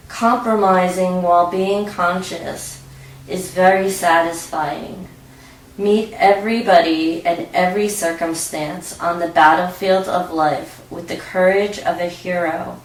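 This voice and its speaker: A woman narrating a scripted guided meditation in a measured, deliberate way. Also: lowish voice. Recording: roomy echo, distant from mic.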